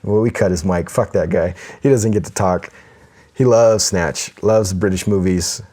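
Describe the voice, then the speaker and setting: This is a man with a very deep voice, co-hosting a casual podcast. He talks with enthusiasm, laughs a lot and reads listener mail aloud. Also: moderate pace, warm tone. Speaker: podcast co-host.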